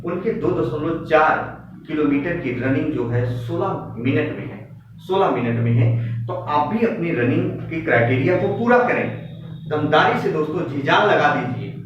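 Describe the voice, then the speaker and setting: A man's voice: a little high, lively intonation, moderate pace. Echoey, distant man, emphatic. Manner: emphatic, repeats phrases, exhorting. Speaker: coaching institute teacher. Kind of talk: exam news update talk to students. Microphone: distant microphone. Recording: very echoey room, distant muffled mic, faint noise.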